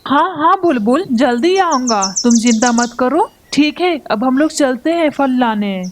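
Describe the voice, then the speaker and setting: Cartoon crow dad, plotting and curt, voiced by a woman, highish voice. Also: animated, measured pace, slight background noise. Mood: coaxing. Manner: gruff, dismissive, plotting. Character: scheming male crow, husband and father. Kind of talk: Hindi cartoon voice acting.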